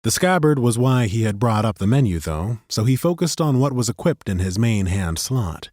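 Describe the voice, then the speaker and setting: A man narrating a fantasy audiobook in an expressive, scripted storytelling style. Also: medium pitch, normal speed, no room sound, studio quality. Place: dry recording studio.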